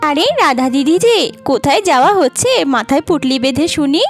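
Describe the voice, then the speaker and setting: Casting: actress as sociable cartoon village neighbour lady, notably high voice, teasing, familiar; soft music underneath. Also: animated, normal speed. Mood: friendly, teasing. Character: friendly, chatty village neighbour woman, a mother.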